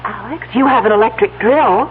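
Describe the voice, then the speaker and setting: An actress playing a tender, anxious farm wife in an old radio drama, emotional and earnest. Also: female voice, a little high, a little fast, close to an everyday voice. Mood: guilty, anxious. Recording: tinny, hissy old radio recording with hum.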